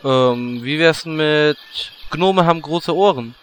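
Cheeky, high-voiced little gnome played by a man. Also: a little slow, a little hiss or hum, close to an everyday voice. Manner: brash, impudent. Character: cheeky, lewd, scheming little male gnome. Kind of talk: comic audio drama parody.

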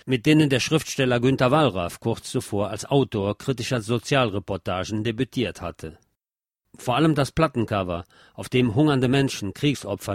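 A man reading a scripted documentary narration in a measured, factual way. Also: mid-range voice, no room sound, clean studio sound.

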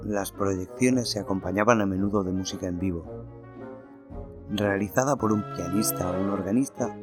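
A man reading a horror story aloud in a slow, theatrical way, then presenting notes on film music. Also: low voice. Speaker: narrator and podcast host. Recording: narration over loud music.

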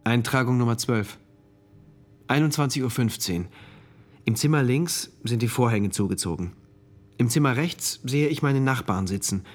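A man's voice: mid-range voice, moderate pace, close to an everyday voice. An actor as a brooding male diarist, music behind. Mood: brooding, detached. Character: troubled male diarist narrator in a dystopia. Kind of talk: literary radio play narration in diary entries.

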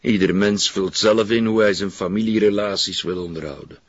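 An actor playing a guarded, formal middle-aged Flemish psychiatrist in a crime drama, speaking in a measured, evasive way. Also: male voice, on the low side, steady tone, slightly rough. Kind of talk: television crime drama dialogue. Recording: dull, echoey room sound.

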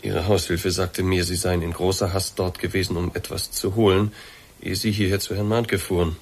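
An actor playing a courteous, quietly probing investigator in a German radio drama, speaking formal, deliberate standard German. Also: male voice, deep voice, level tone, husky. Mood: measured, probing.

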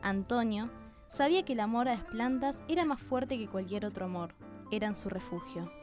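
A woman's voice: fairly high pitch, normal speed, a little mellow. An Argentine woman reading scripted story narration for a radio drama. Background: music behind the voice.

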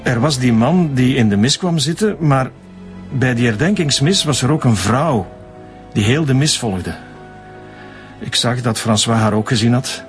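An actor with a Flemish accent playing a nervous, evasive church sexton in a radio drama, speaking defensively. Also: male voice, medium pitch, expressive, measured pace, soft background music, natural voice. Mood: reluctant, uneasy. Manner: defensive, hedging.

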